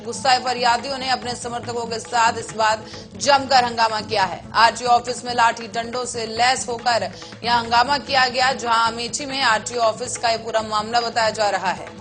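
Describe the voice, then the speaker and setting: A woman anchors a TV news bulletin. Her voice sits fairly high, and she delivers items in a formal bulletin style. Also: steady tone, normal speed, fairly bright tone, soft background music. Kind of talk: Hindi TV news bulletin reading.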